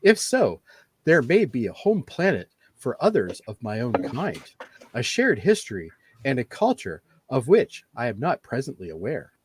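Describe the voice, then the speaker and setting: A man acting an earnest, precise android officer in a fan radio play, with formal, measured wording. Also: mid-range voice, wildly swooping pitch, slightly rough. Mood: earnest, pondering. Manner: formal, measured, wordy phrasing.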